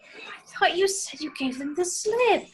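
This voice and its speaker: A woman acting a very high-voiced, worried girlfriend. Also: animated, measured pace, slight background noise. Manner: terse, questioning. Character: worried, no-nonsense girlfriend in a horror comedy. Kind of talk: amateur table read acting.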